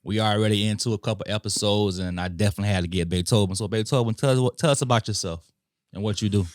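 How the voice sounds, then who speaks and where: A man hosting a podcast, relaxed and slangy as he introduces his guest. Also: on the low side, fast talker, no room sound, studio quality. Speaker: podcast host. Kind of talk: casual interview chat.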